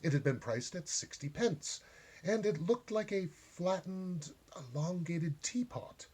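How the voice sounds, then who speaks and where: A man reading a short story aloud as a storyteller, with gentle, deliberate and dramatic phrasing. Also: high-pitched, lively intonation, breathy edge.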